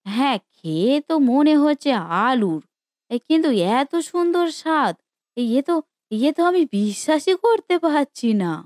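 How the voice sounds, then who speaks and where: A woman's voice: sits high, big pitch swings, normal speed, darkish tone, no echo, clean studio sound. A woman voicing a kindly, elderly village granny in a cartoon story, affectionate and exclaiming as she addresses others like her children. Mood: delighted amazement. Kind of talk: Bengali cartoon story voice acting.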